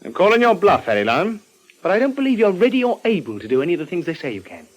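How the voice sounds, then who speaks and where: Shady british crook, conspiratorial, kinda high voice, old radio show. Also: male voice, huge pitch swings, fast, full character voice, poor audio. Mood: goading. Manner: conspiratorial, wheedling, goading. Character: shifty British spiv, conspiratorial small-time crook. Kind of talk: old-time radio drama acting.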